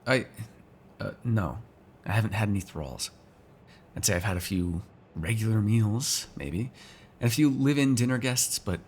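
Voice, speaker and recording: A man's voice: fairly low pitch, a little hiss or hum. A man playing a sardonic, teasing, foul-mouthed vampire in an ASMR roleplay, talking casually to one listener. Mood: guarded, evasive. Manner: casual, teasing, sarcastic, swears freely.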